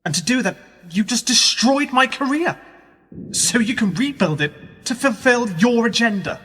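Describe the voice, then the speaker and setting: A man's voice: extremely high pitch, lively intonation, moderate pace, a bit bright, breathy edge, in a large hall, heavily acted. An actor playing a sceptical young male journalist who probes and challenges in a radio play. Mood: indignant. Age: young adult. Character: young male journalist, sceptical, probing, indignant when used.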